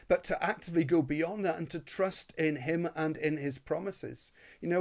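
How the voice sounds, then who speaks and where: A man's voice: fairly high pitch, darkish tone. A man with a Northern Irish accent preaching an earnest sermon, often repeating words as he starts a phrase. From Northern Ireland.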